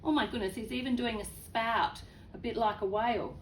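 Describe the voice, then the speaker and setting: A woman reading a children's story aloud in an expressive way, with chatty, exclaiming asides to young listeners. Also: on the high side, a little fast, slight background noise, rough recording.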